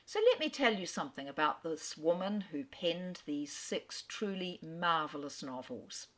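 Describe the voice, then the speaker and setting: A woman giving an enthusiastic, expressive talk on a classic author, narrating like a storyteller. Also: sits low, measured pace. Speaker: literary speaker. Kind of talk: lecture narration.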